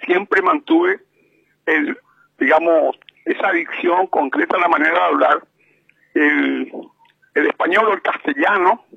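A man with a Paraguayan Spanish accent, calling into a radio show and speaking slowly with many hesitations. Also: fairly high pitch, vocal fry, husky, dry recording. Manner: slow and measured, with many hesitations. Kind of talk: slow deliberate radio commentary. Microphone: telephone. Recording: muffled phone line.

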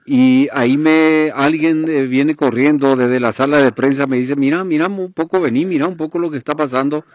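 A man's voice: on the high side, fairly even intonation, normal speed, no echo, dull audio. A man hosting a radio show who talks with a caller in a conversational way. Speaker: radio host.

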